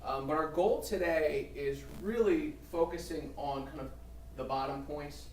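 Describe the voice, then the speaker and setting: Man speaking distantly in a roomy hall. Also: on the high side. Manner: conversational, halting, many restarts and fillers. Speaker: employment lawyer presenting a seminar. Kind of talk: seminar talk with a co-presenter. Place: seminar room. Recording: roomy, distant mic with faint room noise.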